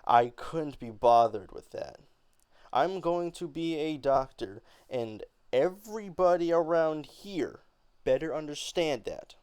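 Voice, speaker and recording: An angry, defiant young woman, as played by a man in an amateur stage-play reading. The pitch is raised and the words come out emphatic and halting, with pauses and stumbles. The sound is clean and dry. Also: lively intonation, darkish tone, smooth, no echo.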